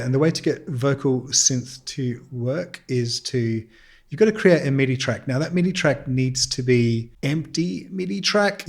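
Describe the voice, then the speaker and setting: A man presenting a music production tutorial in an informal, self-deprecating, explanatory way. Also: medium pitch. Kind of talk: casual software tutorial.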